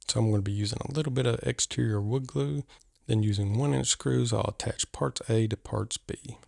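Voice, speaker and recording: A man with a low, gravelly voice walks through a woodworking build step by step, friendly and practical. The close voice-over is dry, with no room sound around it. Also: no room sound. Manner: friendly, practical explaining with light jokes. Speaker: woodworking instructor.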